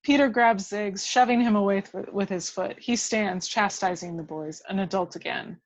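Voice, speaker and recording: Woman narrating screenplay action, medium pitch. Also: moderate pace, poor audio. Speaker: reader of stage directions. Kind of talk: scripted narration at a remote table read.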